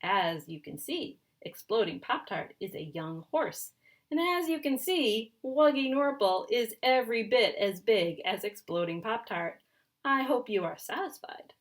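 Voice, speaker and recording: A woman voicing an excitable, stubborn, boastful male storybook character in an insistent, exclamatory way. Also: a little high, measured pace, voice pushed up. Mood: proud, insistent.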